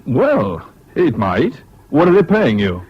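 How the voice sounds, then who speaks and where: Steady, expressive English confidant. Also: male voice, mid-range voice. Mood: reassuring. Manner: measured, matter-of-fact. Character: steady, practical, sympathetic friend and confidant. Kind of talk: British radio drama acting. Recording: dull, hissy old radio recording.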